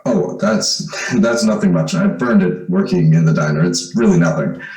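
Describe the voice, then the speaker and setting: A man playing a bashful, polite young son who talks haltingly and uneasily, in old-time radio drama style. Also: low-pitched, a little mellow, natural voice. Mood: sheepish, uneasy. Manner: halting, sheepish. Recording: echoey room.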